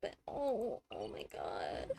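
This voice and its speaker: A woman's voice: very deep voice, wildly swooping pitch, takes it slowly, gravelly, dark tone. A woman streamer giving casual, emotive reactions and exclamations as she plays through a video game. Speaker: gaming streamer.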